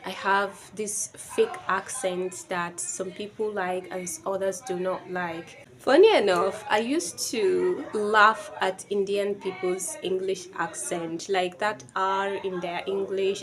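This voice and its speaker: A woman's voice: mid-range voice, slight background noise. Nigerian woman speaking earnestly. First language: Yoruba. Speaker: vlogger. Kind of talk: personal video talk to camera.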